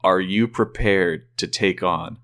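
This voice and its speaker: A male interviewer with a fairly low voice asks prepared questions. He keeps the delivery friendly and conversational. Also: slow pace, a bit bright, a little hiss or hum.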